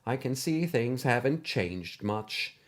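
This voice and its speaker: Man voicing a prim, high-pitched older lady schoolteacher. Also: animated, slow pace. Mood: stern, teasing. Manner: formal, precise, gently scolding. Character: kindly but exacting older woman, former schoolteacher.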